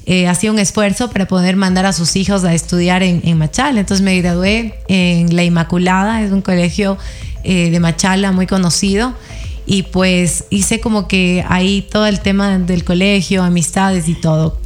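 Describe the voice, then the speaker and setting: A woman's voice: on the low side, normal speed. Chatty ecuadorian coast lady, guest on an interview, lots of fillers, faint music behind. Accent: southern coastal Ecuadorian Spanish. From Ecuador, El Oro province. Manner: chatty, expressive, frequent laughs and fillers. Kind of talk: casual interview chat.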